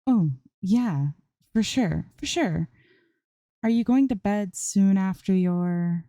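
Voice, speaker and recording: Lady doing an agreeable young woman friend in a story, teasing, mellow soft tone. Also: mid-range voice, expressive, slow, no room sound, studio quality, pitched above the performer's own voice. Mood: eager, unsure. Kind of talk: storybook character dialogue in audiobook reading.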